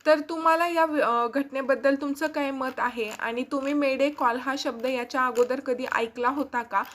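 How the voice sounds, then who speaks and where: High-pitched woman presenter. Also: fairly even intonation, moderate pace, a bit bright. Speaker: YouTube presenter explaining news. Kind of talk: explanatory news narration to viewers.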